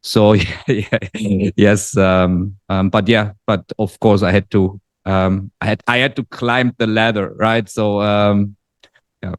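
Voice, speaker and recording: A man in his forties from Germany with a German accent, talking in a relaxed interview with many fillers and restarts. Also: lowish voice, slow pace, no echo. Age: 40s. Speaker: guest trader. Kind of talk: casual interview. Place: living in Dubai.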